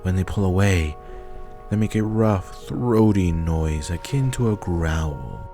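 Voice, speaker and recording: Male narrator, deep voice, scripted storytelling over a faint music bed. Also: slow, mellow.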